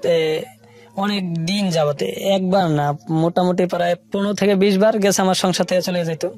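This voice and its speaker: Higher-voiced Bangladeshi man, halting storyteller. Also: steady tone, darkish tone, soft background music. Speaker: radio show guest telling life story. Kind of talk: personal storytelling in an interview.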